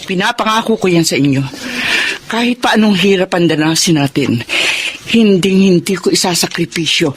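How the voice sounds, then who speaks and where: A woman's voice: on the low side, moderate pace, close to an everyday voice. A woman acts out a middle-aged Filipino mother struggling to keep her family going. She speaks earnestly and with resolve, in radio drama style, over faint background noise. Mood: resolute, emotional. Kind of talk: Tagalog radio drama acting.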